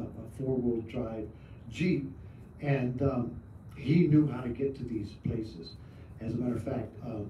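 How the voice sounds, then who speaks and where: A middle-aged man from Arizona, a storyteller and mask artist, giving a halting, reflective talk to a small outdoor audience. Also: medium pitch, dark tone. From Arizona, USA. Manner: hesitant, many "uh" fillers, reflective. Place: outdoors at a museum park at night. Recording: echoey, distant, dull mic with noise.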